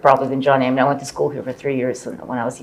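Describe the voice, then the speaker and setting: A woman born in Croatia, a former firm partner, telling relaxed anecdotes about work and language. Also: a bass voice, very fast, gravelly. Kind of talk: reminiscing conversation.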